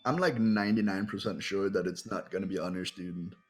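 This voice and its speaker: Jokey low-voiced man. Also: fairly quick, breathy edge, faint music behind. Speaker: player in a group playthrough. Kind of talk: casual chat.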